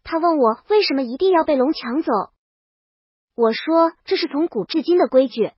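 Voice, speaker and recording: A woman's voice: sits high, lively intonation, normal speed, no room sound, lo-fi sound. A woman reading scripted first-person audiobook narration as the storyteller.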